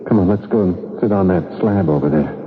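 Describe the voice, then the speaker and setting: A man acting the part of a troubled, skeptical young archaeologist in a 1970s radio drama, talking colloquially and haltingly. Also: low-pitched, close to an everyday voice. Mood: weary, reflective. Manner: colloquial, hesitant, halting storytelling. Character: troubled young male archaeologist, casual, skeptical, grieving his father. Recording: muffled vintage radio recording, music behind.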